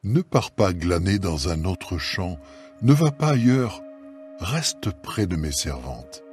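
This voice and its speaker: A man's voice: deep voice, sing-song, slow pace, darkish tone, faint music behind, exaggerated voice. A man playing a kindly, authoritative older landowner in a dramatised scripture reading. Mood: kindly, reassuring.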